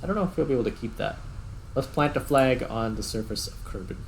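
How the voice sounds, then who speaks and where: A man giving casual gameplay commentary, thinking aloud in short, halting remarks. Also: medium pitch, vocal fry, slight background noise. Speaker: gaming host.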